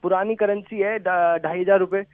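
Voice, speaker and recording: A man's voice: sits high, steady tone, moderate pace, background noise. A man pleading hesitantly and deferentially in a phone conversation on a narrow line. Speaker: prank call victim.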